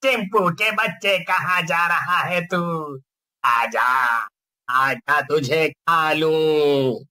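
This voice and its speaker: A man's voice: a little high, extremely slow, bright, forceful tone, full character voice. A man playing a scheming village prankster who poses as a ghost, theatrical and blustering, in a cartoon story. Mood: menacing taunting.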